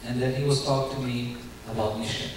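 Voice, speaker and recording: A young man with a Ukrainian accent giving his church testimony in earnest, halting English full of restarts. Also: mid-range voice, fairly even intonation. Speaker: guest speaker giving testimony. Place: church sanctuary. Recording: very echoey church hall, distant mic, faint hiss.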